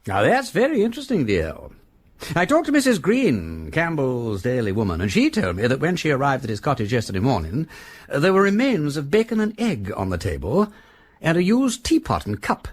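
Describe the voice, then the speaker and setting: An actor playing a jovial, chatty, deceptively silly aristocratic detective with an upper-class English accent in a radio drama. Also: male voice, medium pitch, wildly swooping pitch, fairly quick. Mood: keen, deducing. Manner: breezy, rambling, repeats phrases for emphasis.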